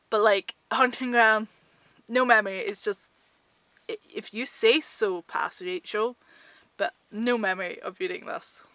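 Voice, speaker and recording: A woman with a high, very bright voice talks straight to viewers in an informal book-vlog style. She is chatty and self-deprecating, and the sound is dry and close, with very little room in it. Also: no room sound. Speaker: book vlogger. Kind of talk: casual book chat to viewers.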